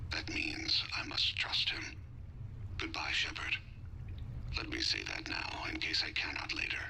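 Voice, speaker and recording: A man voicing a dying, calm male alien assassin in a video game, speaking in a breathy, formal, measured hush. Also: background noise, lightly acted. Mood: quiet, wistful. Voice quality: hushed, breathy, gravelly. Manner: measured, formal, softly reflective.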